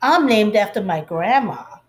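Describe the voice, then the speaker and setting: A woman's voice: medium pitch, animated, a little slow, close to an everyday voice. A woman playing a snooty, teasing schoolgirl mouse in a storybook, pointed and matter-of-fact. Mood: mocking.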